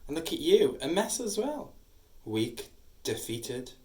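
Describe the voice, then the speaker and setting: A cruel, mocking ancient demon acted by a woman in an audio drama. The voice sits low and swoops theatrically through its threats and taunts. It rings with noticeable room echo. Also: sing-song, slow, a little mellow, heavily acted. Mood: taunting. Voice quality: menacing, theatrical delivery. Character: cruel, taunting ancient male demon possessing a young man.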